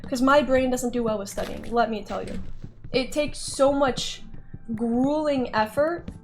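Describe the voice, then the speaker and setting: A woman's voice: high voice, a little slow, a little mellow. A woman vlogger talking casually and candidly while playing a game, rambling and joking at her own expense. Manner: chatty, candid, self-deprecating. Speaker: gaming vlogger.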